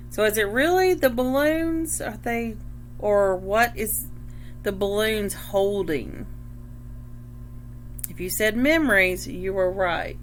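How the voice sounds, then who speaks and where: A woman reading a picture book aloud like a teacher, pausing to explain and check understanding, with a noisy hum. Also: medium pitch, fairly bright tone. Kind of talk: picture book read-aloud with questions to children.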